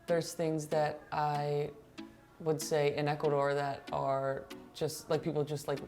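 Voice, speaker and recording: Woman guest, low voice, reflective and earnest; faint music behind. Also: level tone, a little slow, mellow. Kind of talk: casual interview chat. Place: restaurant.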